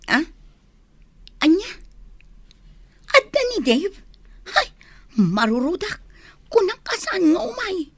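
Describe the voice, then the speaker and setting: A Filipino actress playing a conflicted young woman torn between suitors in a radio drama, with a husky voice. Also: extremely high pitch, big pitch swings, takes it slowly, pauses often, dry recording, heavily acted. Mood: surprised, flustered. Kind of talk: Ilocano radio drama acting.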